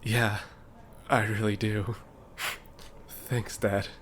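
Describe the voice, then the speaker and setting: A man's voice: fairly low pitch, little pitch movement, extremely slow, a bit bright, breathy edge, full character voice. An actor playing an easygoing young man, a devoted son, in an audio drama. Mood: sheepish, grateful. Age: young adult. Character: easygoing, devoted adult son about to wed.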